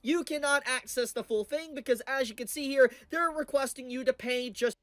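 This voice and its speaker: Female commentary narrator, fairly high voice, scripted opinion delivery, very dry studio-like sound. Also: moderate pace, no room sound.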